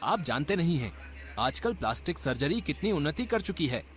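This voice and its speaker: A man's voice: fairly high pitch, big pitch swings, fairly quick. A man playing a brash clerk in a cartoon story, explaining things in a glib, cocksure way. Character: brash male clerk with absurd, cocksure ideas. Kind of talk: acted cartoon story dialogue.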